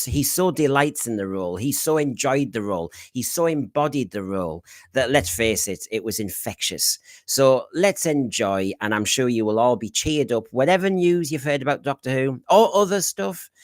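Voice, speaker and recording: A man's voice: medium pitch, no room sound. A man hosting a live fan podcast stream, chatty and jokey, rambling through asides and housekeeping. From Britain. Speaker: podcast host. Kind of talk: casual live stream chat. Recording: dry close sound.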